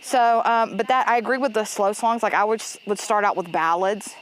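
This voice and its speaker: A Spanish teacher from Chile whose first language is Spanish, speaking English with a Chilean accent in a chatty, laughing podcast conversation. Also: female voice, medium pitch, moderate pace, poor audio. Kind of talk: casual podcast chat.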